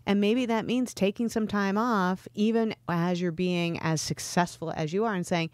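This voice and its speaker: A woman's voice: mid-range voice, steady tone, moderate pace, a little mellow, no room sound. A woman co-hosting a podcast, explaining her ideas in casual conversation. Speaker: podcast co-host.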